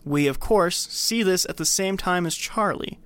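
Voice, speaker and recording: Higher-pitched man hosting a film podcast. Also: a little slow, a bit bright, no echo. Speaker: podcast host. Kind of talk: scripted film recap with casual commentary.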